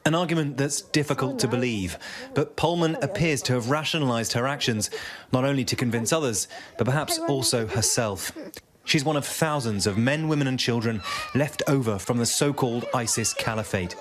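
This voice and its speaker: A man's voice: mid-range voice, normal speed, a crowd in the background. A man narrating a scripted television news documentary as a reporter. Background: background music.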